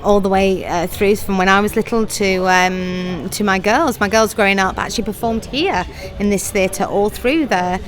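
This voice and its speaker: A middle-aged woman talks in a chatty, effusive way, quick to laugh as she answers questions. Her pitch sits in an ordinary middle range. Faint music plays in the background. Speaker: pantomime writer, director and actor. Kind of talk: interview answers.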